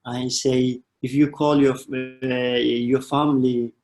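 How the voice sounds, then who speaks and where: Halting young man, gravelly voice. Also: medium pitch, little pitch movement, a little mellow. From Somalia. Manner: halting, many restarts and fillers. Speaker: panel guest telling his refugee story. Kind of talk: personal testimony in a panel conversation.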